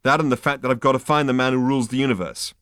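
Man doing brash, loud Zaphod, all swagger. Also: medium pitch, steady tone, rapid-fire, clean tone, no echo, studio quality, pitched above the performer's own voice, lightly acted. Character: brash, swaggering hipster male alien, loud and cocky.

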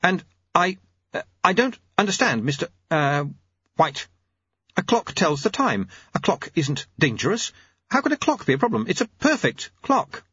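A man's voice: on the high side, sing-song, moderate pace, pushed and bright, breathy edge, no echo, lo-fi sound, pitched above the performer's own voice, heavily acted. A man voicing a diffident, earnest young clockmaker, anxious and hesitant in his speech, in an audiobook. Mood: bewildered. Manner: hesitant, stammering.

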